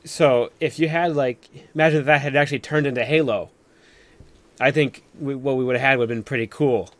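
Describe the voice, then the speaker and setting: A man hosts a casual live chat in an ordinary mid-pitched voice. He rambles loosely and leans on "like" as he goes. The sound is dry and close. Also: fairly quick, no echo. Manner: rambling, peppered with "like". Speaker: host of a live stream panel.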